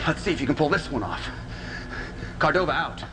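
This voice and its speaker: A man's voice: on the high side, expressive, fairly quick, music in the background, close to an everyday voice. An actor playing a wry, skeptical male recon operative reporting informally in a video game cutscene. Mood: wry, wary.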